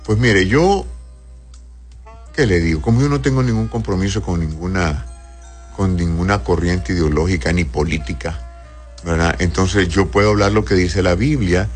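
A very low-voiced man, a doctor who reads out viewers' messages and answers each one patiently. He calls people "corazón" as he advises them. Quiet music plays under his voice. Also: moderate pace. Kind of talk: live call-in health advice show.

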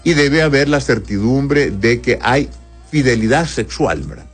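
A man hosting a call-in medical advice show, calmly explaining conditions and reading listeners' messages aloud. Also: medium pitch, unhurried, breathy edge, faint music behind. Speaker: radio doctor answering listener questions.

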